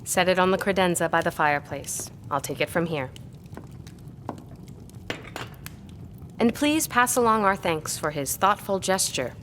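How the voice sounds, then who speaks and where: A woman's voice: lowish voice, lively intonation, a little fast, fairly bright tone, a little hiss or hum. Guarded, earnest female scholar. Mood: concerned. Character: guarded, loyal female scholar hiding a secret. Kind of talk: audio drama acting.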